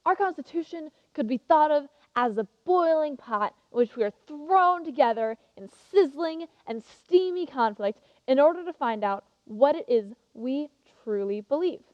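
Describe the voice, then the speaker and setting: A woman's voice: high-pitched, animated, normal speed, few pauses. A woman playing an eager, passionate teenage girl giving an earnest, emphatic contest speech in a theatrical monologue. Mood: intense, enthusiastic. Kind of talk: theatrical monologue as prepared oration. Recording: muffled, reverberant hall recording.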